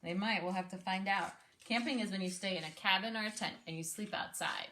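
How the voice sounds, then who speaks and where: A woman reading a story aloud expressively, pausing to explain and ask questions like a teacher. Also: medium pitch, bright, forceful tone, echoey room, a little hiss or hum. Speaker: speech therapist reading aloud to a child.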